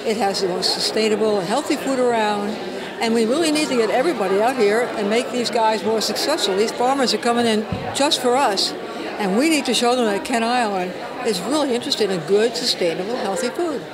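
A woman's voice: fairly high pitch, a little fast. A woman giving a vox pop interview as a customer. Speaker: market customer. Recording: busy market crowd behind.

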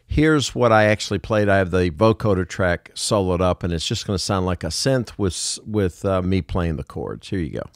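A man's voice: low-pitched, animated, moderate pace, hardly any pauses. A man narrating a casual music production tutorial, explaining things informally step by step.

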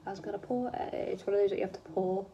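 A woman's voice: medium pitch, fairly even intonation, very fast, warm tone, slight background noise. Muffled young woman, northwest English accent. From northwest England. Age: young adult. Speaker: vlogger. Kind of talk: casual chat to camera while doing makeup. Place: indoors in a room at home. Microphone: phone propped close in front.

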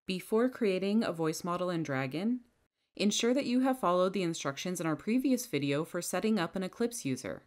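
A woman with a low-pitched voice guides the listener through a software setup one click at a time. Her delivery is clear and instructional, recorded close on a desk microphone. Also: lively intonation, a little fast. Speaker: software trainer. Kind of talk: screen-recorded software tutorial. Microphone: headset or bench microphone.

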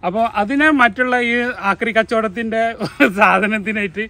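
High-pitched man touring his garden nursery. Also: normal speed. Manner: animated, argumentative, asks rhetorical questions. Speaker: plant nursery owner giving a garden tour. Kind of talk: casual garden tour talk.

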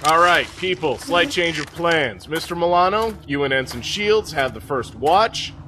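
A man's voice: on the high side, animated, measured pace, background noise. A man playing a stern, seasoned spaceship captain in an audio drama, mocking and folksy in his commands. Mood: commanding.

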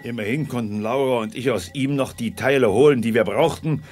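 An actor playing a stern, resolute general with a husky voice in a children's sci-fi radio play. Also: male voice, medium pitch, brisk, a little mellow, close to an everyday voice.

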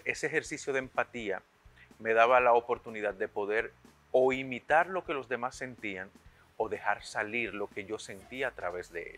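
A man's voice: lowish voice, bright, forceful tone. A middle-aged man with a Dominican accent, explaining himself conversationally as an interview guest in a television studio. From Dominican Republic. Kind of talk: conversational interview, telling his own story.

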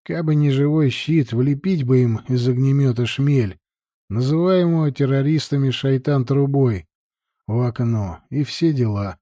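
Bright-free mellow male audiobook narrator, dry sound. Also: medium pitch, a little slow, no room sound. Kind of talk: scripted narration of a military novel.

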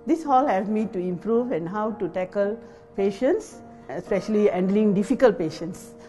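A woman's voice: medium pitch, lively intonation, normal speed, warm tone, soft background music. A woman in her fifties, a midwife, speaking frankly in a documentary interview. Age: 50s. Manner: frank, plain-spoken.

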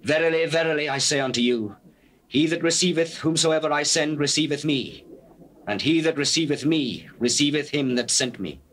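Male actor as solemn male religious teacher, slightly high voice, authoritative, measured declamation, scripture drama, old cassette noise. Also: fairly bright tone, natural voice. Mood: gentle, instructing. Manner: measured, declamatory, deliberate phrasing. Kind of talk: dramatized King James Bible reading. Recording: noisy old cassette recording.

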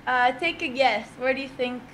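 A young woman from Canada co-hosting a streamed speed date, teasing with playful deadpan jokes. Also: high voice, normal speed, background noise. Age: young adult. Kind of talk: casual teasing chat. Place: indoor room with plants.

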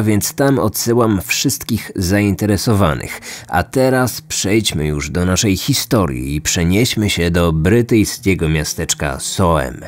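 A young man hosting a true crime podcast, reading scripted narration in a measured, deliberate way. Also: fairly low pitch. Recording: music bed behind the voice.

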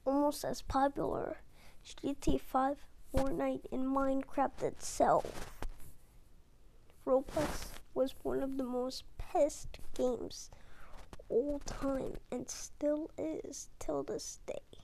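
A young girl talking in a hushed, often whispered voice late at night at home, with halting restarts. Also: high-pitched, steady tone, gravelly, poor audio. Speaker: video uploader. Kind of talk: casual talk over a screen recording. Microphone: tablet mic.

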